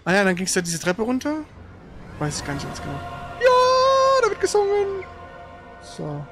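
High-pitched man chatting over gameplay. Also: wildly swooping pitch, moderate pace, loud music behind the voice. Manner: rambling, stuttering self-corrections. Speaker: host of a gaming livestream. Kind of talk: casual live gameplay commentary.

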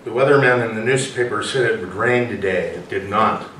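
A man playing an odd, withdrawn loner in a staged reading, halting, evasive and cryptic. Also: fairly low pitch, fairly bright tone, lightly acted. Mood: guarded. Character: odd, withdrawn male loner muttering in riddles. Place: small performance room with audience, far camera. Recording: distant echoey room with faint noise.

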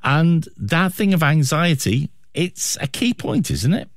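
A man's voice: a little high, big pitch swings, measured pace, dry recording, studio quality. A man in his sixties hosting a radio interview in a chatty, self-deprecating way. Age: 60s. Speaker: radio host.

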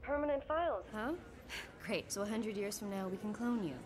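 A woman's voice: medium pitch, expressive, moderate pace, music in the background, lightly acted, poor audio. An actress playing a playful young adult girlfriend who teases her partner. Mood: teasing. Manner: playful, teasing.

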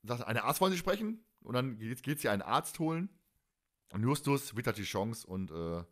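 A man's voice: medium pitch, big pitch swings, normal speed, a bit bright. Man in his forties, colloquial rambler. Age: 40s. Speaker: fan podcast host. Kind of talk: casual chat.

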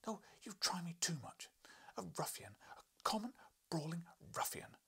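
Man acting scolding wife, audiobook, indignant, high-pitched, breathy, high-strung. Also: very animated, normal speed, fairly bright tone, in a whisper, full character voice. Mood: outraged. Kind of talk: audiobook character voice.

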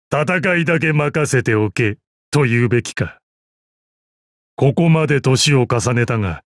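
A proud old fighting master from a video game, speaks in a medium-pitched voice with gruff dignity. His phrasing is archaic and dryly amused. The sound is dry, with no room around it. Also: no room sound. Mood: musing, composed. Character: proud, battle-hardened old martial arts master assassin. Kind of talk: Japanese mobile game character voice lines.

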